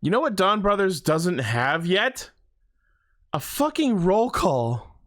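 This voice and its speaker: A man's voice: sits high, big pitch swings, slow, fairly bright tone, no echo, clean studio sound. A man co-hosting a podcast, talking in casual fan banter with an informal, sweary manner. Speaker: podcast co-host.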